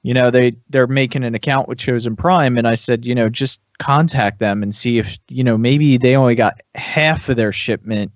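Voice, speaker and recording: A man's voice: mid-range voice, steady tone, normal speed, few pauses, no echo. Dry, slightly dull-sounding man chatting. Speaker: podcast panelist reviewing toys. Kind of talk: casual chat.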